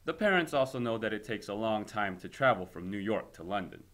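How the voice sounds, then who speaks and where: A man's voice: lowish voice, animated, a little fast, a bit bright. Man teaching through a read-aloud. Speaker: teacher reading a story aloud. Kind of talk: expressive read-aloud lesson narration.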